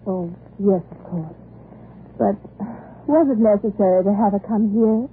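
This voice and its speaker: An actress in a 1930s radio drama playing a coolly sardonic, suspicious upper-class wife, polite but dry and pointed. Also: female voice, mid-range voice, measured pace, lightly acted. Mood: dry, teasing. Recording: noisy, tinny 1930s radio broadcast.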